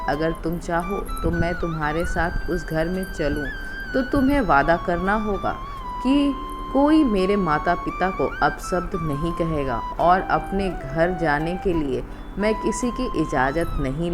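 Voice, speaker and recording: A woman voicing a young married daughter-in-law in an audio story, hurt and indignant, firmly standing up for herself, over music. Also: lowish voice. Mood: firm, defiant. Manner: firm, indignant, emotional protesting.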